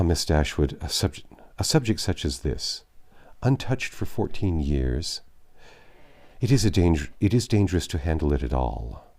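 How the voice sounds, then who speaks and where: A deep, mellow voice for a grave middle-aged English gentleman in a storybook, acted out by a male narrator. He speaks formally and earnestly, halting at times, with sorrow held in check and a lively rise and fall. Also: exaggerated voice. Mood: troubled, halting. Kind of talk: dramatic audiobook reading of classic novel.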